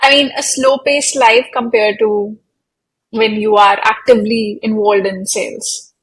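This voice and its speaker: Woman, Indian accent, higher voice, interview host, deliberate questions. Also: steady tone, rough recording. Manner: deliberate, framing long questions with "so, um". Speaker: host, career coach interviewer.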